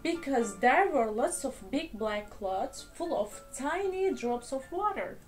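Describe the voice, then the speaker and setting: A woman's voice: high voice, big pitch swings, slow pace, soft background music, full character voice. A woman voicing a patient but exasperated father in a children's storybook, explaining things with pleading emphasis. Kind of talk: storybook character voice.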